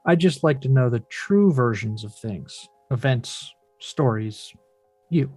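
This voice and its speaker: A skeptical grown son played by a man in a dramatic script read. His mid-pitched voice is close and dry, earnest but restrained, with pointed questions. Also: lively intonation, slow, a little mellow, no echo, faint music behind.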